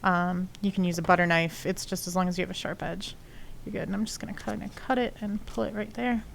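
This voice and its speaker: A woman's voice: mid-range voice, steady tone, quick pace, vocal fry, a little hiss or hum. A woman with a sniffly nose chatting casually and rambling with many fillers while explaining her sculpting on a live stream. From not from Texas. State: sniffly from allergies. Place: home studio, lives in Texas.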